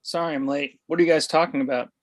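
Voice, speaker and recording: A man's voice: a little high, fairly even intonation, quick pace, natural voice. Guy reading a smug middle-aged dad, blunt and stubborn, brushes everything off, comedy table read. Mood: nonchalant. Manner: blunt, dismissive.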